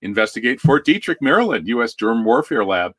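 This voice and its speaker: A man's voice: mid-range voice, sing-song, fairly quick, a bit bright, breathy edge, no echo. An American man hosting a conversational news commentary show in a wry, tongue-in-cheek manner.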